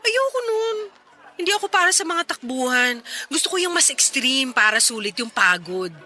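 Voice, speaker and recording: A very bright, lively smitten young-sounding Filipino woman in a radio drama. She is coy and playful with her best friend, her pitch swinging freely, with music behind her. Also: moderate pace, exaggerated voice. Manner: bubbly, coy, playful, girlish. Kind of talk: Tagalog radio drama dialogue. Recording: music bed behind the dialogue.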